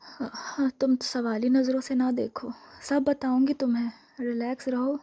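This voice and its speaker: A woman performs a lonely vampire seductress in an intimate ASMR role-play. Her voice is very breathy and husky, slipping into whispers, with a subdued, narrow melody. It stays soft and dark in tone as she lingers over coaxing, possessive words. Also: high-pitched, level tone, measured pace. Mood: enraptured, soothing.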